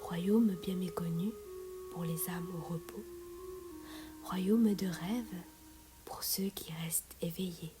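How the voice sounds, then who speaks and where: A woman reciting a meditative poem in a soft, breathy, often whispery voice, measured, with long pauses between phrases. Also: lowish voice, steady tone. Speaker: poet reciting own poem. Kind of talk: slow meditative poetry recitation. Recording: music and singing bowls behind voice. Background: meditative music with singing bowls.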